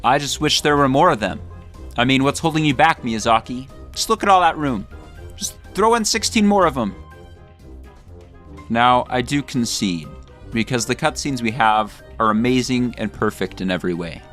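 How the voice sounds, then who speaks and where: A man's voice: medium pitch, expressive, moderate pace, a bit bright, soft background music. A man delivering scripted satirical video commentary in a mock-serious way, with exaggerated theatrical emphasis. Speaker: video creator and narrator.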